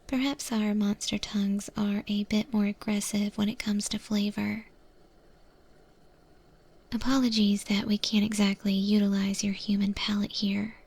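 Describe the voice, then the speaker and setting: A woman playing a calm, courteous eldritch monster chef in a soft-spoken, whispered ASMR roleplay. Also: mid-range voice, creaky, breathy edge. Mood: politely apologetic. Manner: slow, gentle, polite, unhurried.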